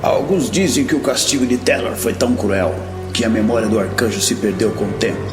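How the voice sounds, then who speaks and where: An actor playing a grim, world-weary veteran warrior master in a fantasy audio drama, speaking bluntly and solemnly. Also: male voice, lowish voice, brisk, lightly acted. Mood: grave, confiding. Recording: background music.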